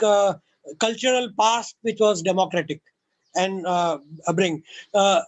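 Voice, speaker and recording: Earnest man lecturing, high voice. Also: rough recording. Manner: measured, earnest, many "uh" pauses. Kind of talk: prepared lecture on a list of points.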